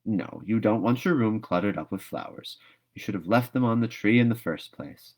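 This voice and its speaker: A stern older woman from a storybook, read by a man in a low voice. The delivery is dry, brisk and practical, with steady disapproval and no patience for fancy. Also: close to an everyday voice. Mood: stern, impatient.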